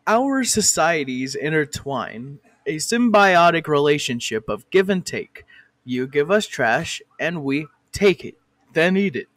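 A man's voice: fairly high pitch, lively intonation, a little slow, a bit bright, no room sound. A man narrating a comic fan parody of an educational cartoon, breaking off to swear and argue with a director.

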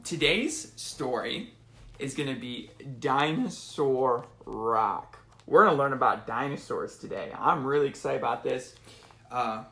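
A man in his twenties hosting a children's storytime, reading aloud and chatting informally in an animated way, recorded in a small room at home. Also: on the high side. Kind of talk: casual live storytime, reading aloud to kids. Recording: roomy home phone recording.

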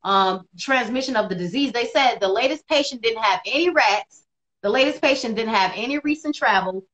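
A woman in her thirties co-hosting a casual live video chat from home, animated and quick to laugh, on a phone or laptop mic. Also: fairly high pitch, rough recording. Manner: animated, laughs often, bright delivery. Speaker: co-host. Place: indoors at home. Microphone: phone or laptop camera on video call.

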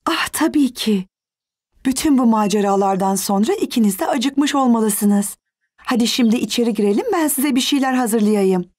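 A woman playing a kindly, encouraging mother of young children in a cartoon dub, with a gentle manner. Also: fairly high pitch, moderate pace, lightly acted.

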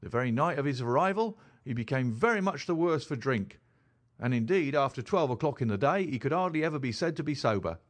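A man's voice: medium pitch, lively intonation, a little fast, lightly acted. A man voicing a distressed, respectable mother who keeps a boarding house, dramatic and formal, in an audiobook reading. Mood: grave, disapproving.

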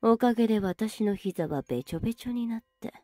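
A woman playing a young, teasing older sister in an anime ASMR roleplay, scolding yet soft and intimate, close to the microphone. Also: fairly high pitch, lively intonation, fairly quick, dry recording, soft background music, lightly acted. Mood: teasing, fond. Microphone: very close, dry microphone.

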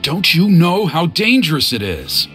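A man's voice: a little high, wildly swooping pitch, a little slow, over music. A man voicing a stern, protective middle-aged father and tribe elder in video game dialogue. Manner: gruff, then flustered and apologetic.

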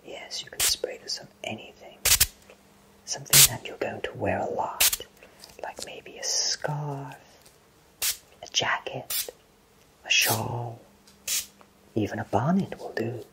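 A man in his thirties voicing a kindly fantasy potion shopkeeper in a soothing, breathy ASMR whisper. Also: medium pitch, natural voice, poor audio. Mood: reassuring, helpful. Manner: slow, soothing, reassuring. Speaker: ASMR roleplayer.

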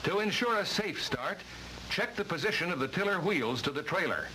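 A man's voice: sits high, animated, moderate pace, sharp tone, slight background noise, poor audio. A man reading formal, precise instructional narration for a vintage training film, on a worn old soundtrack.

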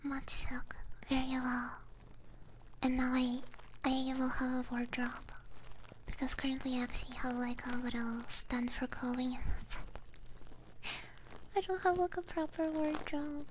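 A woman whispering hushed, casual ASMR chat to viewers, halting and close on a binaural microphone. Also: high-pitched, steady tone, poor audio. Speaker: ASMR streamer. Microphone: close binaural ear microphone. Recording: clear background noise.

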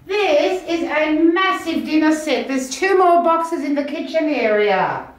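A very echoey indoor recording of a middle-aged Australian woman with a high voice. She chats enthusiastically as she shows auction items from room to room, picked up by a camera microphone a few steps away. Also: lively intonation, a little slow. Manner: chatty, enthusiastic. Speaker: auction house presenter. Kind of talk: casual walk-through tour of auction items. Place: small indoor rooms of an auction house. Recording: very echoey bare rooms.

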